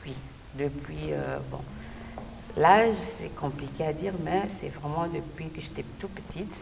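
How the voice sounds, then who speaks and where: A woman's voice: sits low, unhurried, vocal fry, a little mellow. A woman from Belgium, a gospel singer and interview guest, giving a personal testimony and often hesitating to find her words. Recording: distant mic, echoey hall, noisy background.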